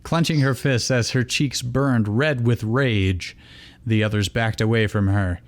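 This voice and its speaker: A man's voice: mid-range voice, steady tone, measured pace. A man reading fan fiction aloud as theatrical story narration. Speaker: reader of fan fiction, host.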